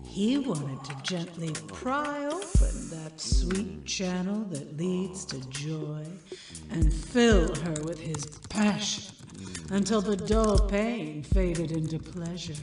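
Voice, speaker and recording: An actress playing a seductive woman narrating a steamy screenplay in voice-over, with deliberate delivery. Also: fairly low pitch. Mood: heated, seductive. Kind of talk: audio play voice-over. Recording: roomy echo, distant, loud music bed.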